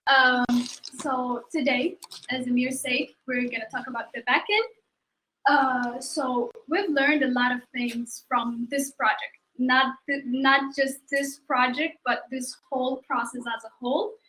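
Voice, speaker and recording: A woman's voice: high voice, steady tone, measured pace, bright, forceful tone. A young woman from Ethiopia giving a prepared technical presentation as a student. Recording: echoey room.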